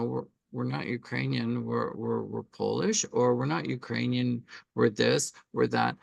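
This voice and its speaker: A middle-aged man answering interview questions in an animated, conversational way, often checking in with "right?" between points. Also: medium pitch, vocal fry, no room sound, studio quality. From Canada. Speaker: artist interviewee.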